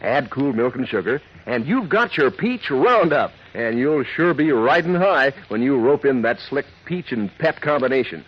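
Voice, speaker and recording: A man's voice: mid-range voice, sing-song, moderate pace, few pauses. A man reading a 1940s radio advertisement with upbeat, folksy sales patter. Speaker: commercial announcer. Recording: muffled old radio recording.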